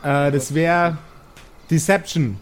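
Slow-talking, higher-voiced man as game master. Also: steady tone, a little hiss or hum. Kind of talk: improvised tabletop game narration.

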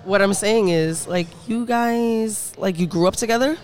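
High-voiced teasing male podcast host. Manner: animated, teasing, quick reactions. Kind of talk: casual chat.

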